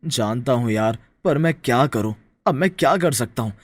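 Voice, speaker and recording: A man's voice: medium pitch, lively intonation, normal speed, no echo, lightly acted. A man playing a troubled, henpecked young farmer husband in a story, weary and pleading. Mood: worried, pleading.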